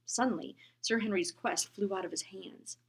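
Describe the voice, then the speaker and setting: A woman reading a children's book aloud, gentle and expressive, often dropping into a whisper. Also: medium pitch, moderate pace, husky. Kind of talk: storybook read-aloud.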